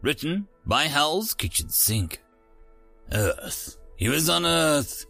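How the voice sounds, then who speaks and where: A man's voice: a little high, very animated, takes it slowly, creaky, bright, forceful tone, faint music behind. A man narrating scripted stories as a host, with a theatrical, dramatic announcer delivery. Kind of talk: scripted story narration with a showy intro.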